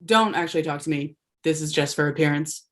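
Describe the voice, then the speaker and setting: A woman's voice: deep voice, a little fast, natural voice. An actress at a table read playing a blunt, dry young woman at a bar. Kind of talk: table read of a comedy script.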